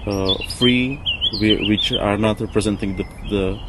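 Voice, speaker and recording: A man, speaking in a halting, searching way during a reflective interview. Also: on the low side, fairly even intonation. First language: not English. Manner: halting, searching for words with repetitions. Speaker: interview guest, former architect. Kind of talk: casual reflective discussion. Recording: noisy outdoor ambience with birds, traffic.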